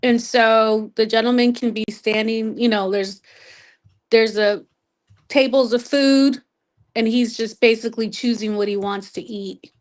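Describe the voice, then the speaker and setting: A woman with a voice pitched a little above average takes part in a live audio show. She speaks in a casual, conversational style. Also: fairly even intonation, measured pace. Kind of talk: casual chat.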